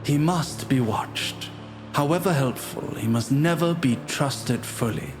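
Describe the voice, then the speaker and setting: A man narrating a scripted suspense story in a measured, ominous storytelling manner. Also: medium pitch, vocal fry. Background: music.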